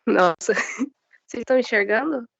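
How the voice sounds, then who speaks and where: A woman's voice: on the high side, moderate pace, vocal fry, a bit bright, no echo. Brazilian woman, student presenter, voice off from flu. Mid-range, semi-read delivery, very dry, slightly muffled recording. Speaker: student giving a safety talk. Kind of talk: informal class presentation, partly read. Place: online video class.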